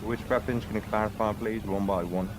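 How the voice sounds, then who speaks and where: Deep-voiced lawyer over noisy voice chat. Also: male voice, fairly even intonation, brisk. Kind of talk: roleplay courtroom questioning.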